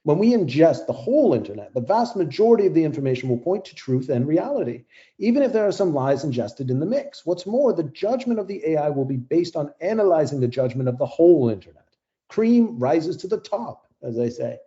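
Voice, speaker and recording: An actor playing a smug, zealous scientist who champions AI in an audio play debate, with a lofty, dismissive manner. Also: male voice, on the high side, big pitch swings, fairly quick, mellow, dull audio. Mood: condescending, confident. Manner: lofty, dismissive, self-assured.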